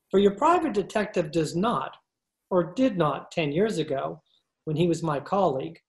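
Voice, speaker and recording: A woman's voice: lowish voice, a little mellow. A woman giving a scripted announcer's introduction to a radio play, recorded at home. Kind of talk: scripted narration. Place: speaker's home, recorded over video call.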